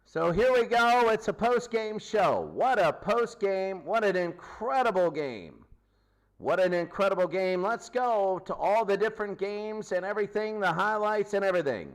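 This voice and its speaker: A man's voice: high voice, animated, normal speed. A man hosting a sports post-game show, recapping games in an emphatic way and repeating his phrases.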